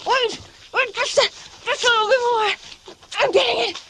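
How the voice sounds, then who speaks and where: An actress playing a secretive wife descending into madness, intense and theatrical, confiding to herself as in a suspense radio drama. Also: female voice, very high-pitched, normal speed, background noise. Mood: frantic, straining. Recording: radio drama with loud music behind.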